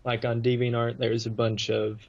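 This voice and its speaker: A man's voice: mid-range voice, monotone, normal speed. Guy guesting on a podcast, rambly slangy storytelling, dull lo-fi audio. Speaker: guest on an anime talk podcast. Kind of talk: casual chat.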